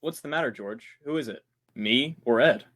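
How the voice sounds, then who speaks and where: An actor playing an anxious radio performer at rehearsal in an old-time radio drama, unsure and seeking approval. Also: male voice, mid-range voice, moderate pace, a bit bright, lightly acted. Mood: testy, worried.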